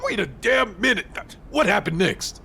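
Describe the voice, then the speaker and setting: A blunt, impatient resistance fighter from a video game. His voice is raspy and gravelly, pitched a bit high and very animated. He sounds gruff and folksy. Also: measured pace, a little hiss or hum, heavily acted. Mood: impatient, blustering. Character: hot-headed, gruff, big-hearted male resistance fighter. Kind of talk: dramatic video game acting.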